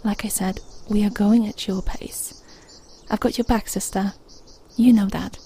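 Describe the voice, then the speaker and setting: Woman playing a soft-toned, husky, bubbly crewmate. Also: mid-range voice, fairly even intonation, a little slow. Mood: reassuring. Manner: reassuring, playful. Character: bubbly, supportive female starship crewmate and loyal friend. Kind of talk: audio drama acting, casual chat.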